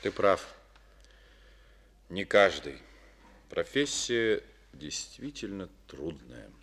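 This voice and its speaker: An actor playing a calm, reserved former colonel now a party secretary, speaking tersely in an old radio play. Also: male voice, sits low, extremely slow, husky, full character voice. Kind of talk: Soviet radio play acting. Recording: old studio radio recording.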